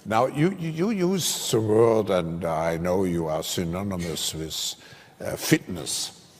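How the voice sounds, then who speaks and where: A man with a very low, deep voice asks questions formally, pausing with ums and false starts. He sounds like he is in a big hall, and a long reverb trails after his words. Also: animated, slight background noise. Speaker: interviewer. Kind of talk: stage interview questions.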